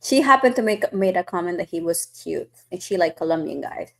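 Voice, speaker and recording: A woman's voice: on the low side, expressive, fairly quick, gravelly. A woman hosting a podcast, telling stories in a chatty, casual way with lots of fillers. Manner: chatty storytelling with many fillers. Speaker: podcast host. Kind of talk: casual chat.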